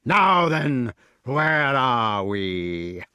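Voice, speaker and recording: A man voicing a bullying, easily enraged giant in a storybook, with a drawn-out, menacing delivery. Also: mid-range voice, very animated, pushed and bright, clear, resonant tone, no room sound, studio quality, heavily acted. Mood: menacing, sneering.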